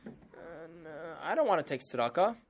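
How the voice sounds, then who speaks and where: A woman's voice: sits low, big pitch swings, slight background noise. A woman doing a poor, hesitant husband. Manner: hesitant, halting.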